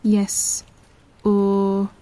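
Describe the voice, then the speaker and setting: A clear-headed young traveller in a sci-fi audio drama. Her voice sits at a medium pitch, and she speaks in short, direct questions and remarks. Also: monotone, very slow, mellow. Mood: explaining.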